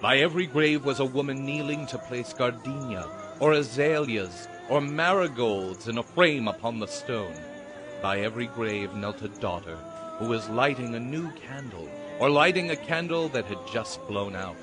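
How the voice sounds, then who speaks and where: A man plays a lyrical storyteller in an audiobook drama. His medium-pitched voice is measured and theatrical, with music clearly playing behind the narration. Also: natural voice. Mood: solemn, lyrical.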